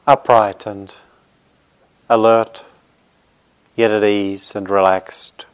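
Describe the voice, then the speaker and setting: A man with a fairly low, very smooth voice leads a guided meditation. He speaks gently, in short phrases with plenty of space between them. His manner is reassuring, as a teacher settling students into practice. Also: little pitch movement, slow.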